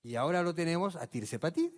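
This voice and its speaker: A man's voice: fairly high pitch, very animated, fast talker. A male physician giving a medical conference lecture, emphatic and addressing the audience with rhetorical questions. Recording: long reverb of a large hall.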